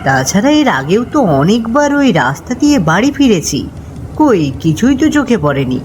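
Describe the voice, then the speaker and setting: A woman voicing a friendly but jumpy male village peddler in a cartoon ghost story, with expressive delivery. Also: mid-range voice, moderate pace, close to an everyday voice. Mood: easygoing. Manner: expressive, stammers when frightened. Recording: noisy background ambience.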